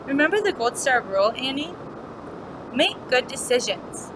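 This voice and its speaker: A woman voicing a patient male kindergarten teacher from a storybook, measured and instructive. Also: high-pitched, very animated, background noise. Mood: gently firm.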